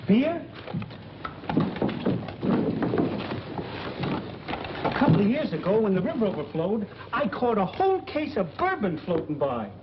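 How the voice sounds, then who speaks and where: An actor playing a pleading, plain-spoken drinking pal in an old film comedy. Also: male voice, high-pitched, huge pitch swings, a little fast. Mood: eager. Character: pleading, scrounging boarding-house drinking pal, a man. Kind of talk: 1940s film comedy acting. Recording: muffled old film soundtrack, clearly noisy.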